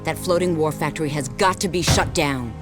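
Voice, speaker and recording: A man's voice: fairly high pitch, fairly quick, music in the background, natural voice. A man voicing a serious, authoritative military leader with a businesslike delivery in a video game. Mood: grave briefing.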